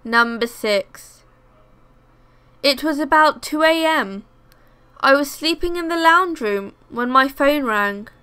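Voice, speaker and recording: A woman reading first-person scary stories aloud as scripted narration, in a measured storytelling manner. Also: high voice, lively intonation, sharp tone.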